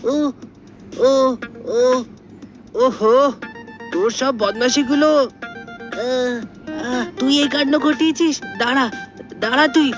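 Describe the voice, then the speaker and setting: Woman playing a slow, high-voiced cartoon blusterer. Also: music in the background. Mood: pained, angry. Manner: exclaiming, groaning. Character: boastful, hapless village character practising long jumps. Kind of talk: cartoon dialogue acting.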